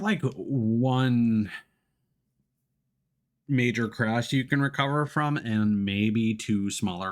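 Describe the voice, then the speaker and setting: A man giving casual livestream gameplay commentary, muttering reactions with some mild swearing. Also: on the low side. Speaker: video game livestreamer. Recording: loud game music behind voice.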